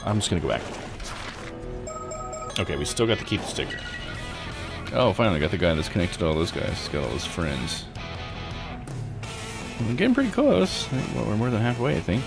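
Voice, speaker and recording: A man's voice: fairly low pitch, wildly swooping pitch, a little fast, vocal fry, long pauses. A man live streaming a visual novel, rambling and thinking aloud in casual commentary. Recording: game music behind voice. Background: video game music.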